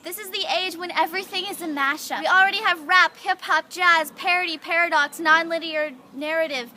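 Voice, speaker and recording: A woman's voice: very high-pitched, fairly even intonation, fairly quick, sharp tone, slight background noise. A young woman reciting slam poetry in an earnest, rhythmic way, leaning on repeated phrases. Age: young adult. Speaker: student poet.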